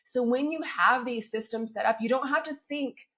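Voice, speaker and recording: A woman hosting a fitness podcast while she has a cold, her voice crackly and a little squeaky, talking in an upbeat, chatty way and often checking in with her listeners. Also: normal speed, bright, forceful tone. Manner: upbeat and chatty, keeps checking in with "right?" and "okay?". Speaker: fitness coach and podcast host. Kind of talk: solo podcast, coaching talk.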